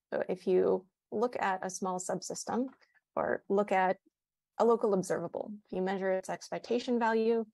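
Creaky-voiced woman explaining academically. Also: medium pitch, mellow, no echo. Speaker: panelist, physicist. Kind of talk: explanatory academic panel answer. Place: indoor room, joining by video call. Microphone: earphone headset on video call.

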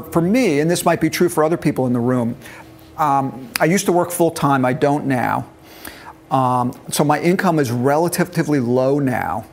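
A man in his early sixties giving an informal classroom talk on retirement money, explaining step by step and checking that his listeners follow, on a lapel mic in a meeting room. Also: fairly high pitch. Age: early 60s. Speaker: financial planning instructor. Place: meeting room with a seated group.

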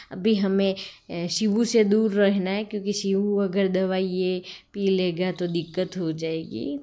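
A woman's voice: medium pitch, dark tone, lo-fi sound. A woman narrating farm work for her vlog in a chatty, casual way, talking to viewers as friends, outdoors on a farm. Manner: chatty, addresses viewers as friends, many fillers. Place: outdoors on a farm near a noisy tractor.

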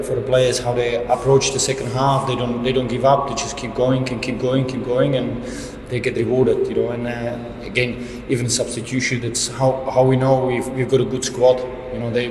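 A man's voice: medium pitch, darkish tone, slight background noise. A man in his thirties, a football head coach, answering reporters at a post-match press conference in fairly plain with frequent restarts, into a table microphone. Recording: echoey room with reverb.

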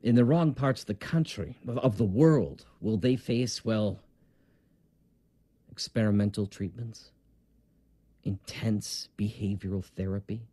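An actor playing a calm, measured, quietly official older man in an audio drama. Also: lowish voice, breathy edge, dry recording. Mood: concerned, measured.

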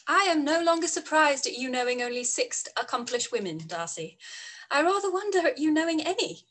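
Woman playing witty polite young regency lady, highish voice, composed, radio play read. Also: expressive, brisk, a bit bright. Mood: wry. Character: witty, self-possessed young gentlewoman. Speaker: actor in live read. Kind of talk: period radio play acting over video call. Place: small room at home. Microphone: video call.

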